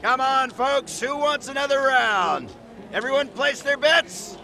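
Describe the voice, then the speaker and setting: An excitable betting dealer in a game cutscene. His voice is extremely high and creaky, calling out like a barker. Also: measured pace. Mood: urging, excited. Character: excitable male gambling-den dealer calling for bets. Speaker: voice actor in a video game.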